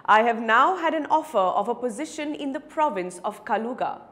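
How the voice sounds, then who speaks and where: A woman's voice: fairly high pitch, lively intonation, fairly bright tone. A woman voicing a ragged, humble male beggar in a dramatic story reading, pleading and evasive. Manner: plaintive, halting when challenged.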